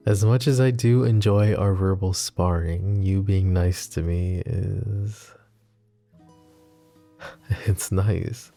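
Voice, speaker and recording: A man playing a flirty, possessive mafia boss who is smitten and vulnerable, speaking in soft, teasing, intimate ASMR roleplay. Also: sits low, steady tone, takes it slowly, soft background music, heavily acted. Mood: wry, affectionate. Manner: soft teasing banter with breathy chuckles.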